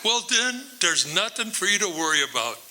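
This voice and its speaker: A man's voice: sits high, very animated, normal speed, pushed and bright, slight background noise, exaggerated voice. A man acting a dismissive police desk officer in a live radio play, patronizing and brushing off the caller.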